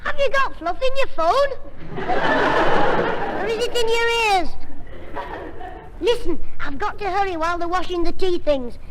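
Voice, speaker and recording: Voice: very high voice, lively intonation, moderate pace, long pauses, over a noisy crowd. An adult performer playing a cheeky, scheming schoolboy who teases everyone in a 1950s radio comedy. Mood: excited scheming. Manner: cheeky, teasing, giggly. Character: cheeky, scheming young schoolboy, mischievous and quick with insults. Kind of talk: 1950s radio sitcom acting. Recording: noisy 1950s radio recording with studio audience.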